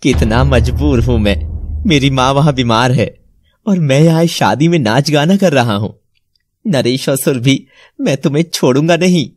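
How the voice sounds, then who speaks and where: A man voicing a troubled, dramatic nobleman in an animated fairy tale, with a slightly husky voice. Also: mid-range voice, moderate pace, a little hiss or hum, lightly acted. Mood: bitter.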